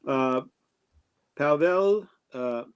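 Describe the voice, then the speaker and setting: A man's voice: a little high, expressive, drawn-out words, clean tone. A man co-hosting an interview podcast, asking friendly, rambling questions. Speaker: podcast co-host. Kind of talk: casual interview chat.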